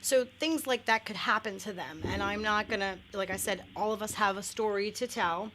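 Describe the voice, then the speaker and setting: A woman's voice: mid-range voice, moderate pace, fairly bright tone, slight background noise. A middle-aged woman, a guest on a radio talk show, talking candidly with lots of fillers and restarts. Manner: candid, many fillers and self-corrections. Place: radio studio.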